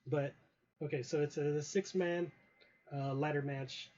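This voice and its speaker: A young man with a hoarse voice chatting casually on a livestream, rambling with many ums while telling a wrestling story over a headset mic. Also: fairly high pitch, steady tone, a little slow, darkish tone. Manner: rambling, many ums and uhs, greets chat viewers. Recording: dull lo-fi stream audio with faint hiss.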